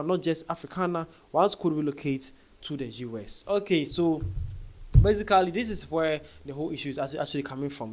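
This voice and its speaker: Higher-pitched African man, casual news commentary. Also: a little mellow. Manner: conversational, repeats phrases, addresses viewers directly.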